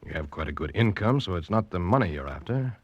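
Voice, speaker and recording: Smooth wealthy uncle, genial yet calculating, low voice with swooping intonation, vintage radio drama. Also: male voice, animated, no room sound, lo-fi sound. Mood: suave, probing. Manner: urbane, polite, measured probing. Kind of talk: 1940s radio drama acting.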